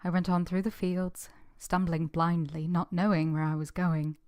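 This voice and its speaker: A woman's voice: lowish voice, little pitch movement, normal speed, darkish tone, soft background music, natural voice. A woman playing an earnest, steadfast young governess who tells her own story in a radio drama, restrained and sorrowful. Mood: sorrowful narration. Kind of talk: period radio drama narration and acting.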